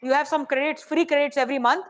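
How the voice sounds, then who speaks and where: A soft-spoken woman with an Indian English accent, teaching a hands-on AI workshop and checking in with the room often. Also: high-pitched, fairly even intonation, fast. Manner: chatty, checks in often with "right?" and "okay?". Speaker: AI workshop presenter.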